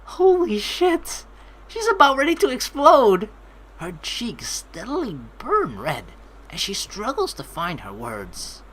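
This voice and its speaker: A woman hosting a let's play, reading story narration aloud and reacting with animated, excitable commentary. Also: mid-range voice, takes it slowly, a little hiss or hum, poor audio.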